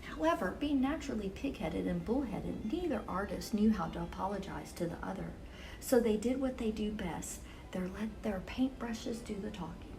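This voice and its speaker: A woman hosting a children's story time, reading picture books aloud expressively with measured, deliberate phrasing for young listeners. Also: medium pitch, gravelly, background noise.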